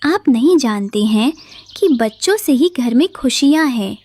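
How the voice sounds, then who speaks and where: Woman as a soft, slow-spoken mother sparrow. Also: on the high side, expressive, no echo. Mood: pleading. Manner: tender, coaxing. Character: gentle, patient mother sparrow. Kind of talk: children's cartoon voice acting.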